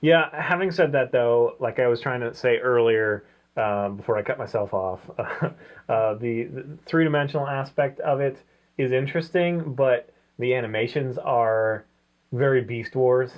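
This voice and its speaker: A man with a mid-pitched voice talks through a casual, opinionated review. He rambles and thinks aloud as he goes. The recording is dull and lo-fi. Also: normal speed. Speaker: co-host of a comics review show. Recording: dull, lo-fi sound.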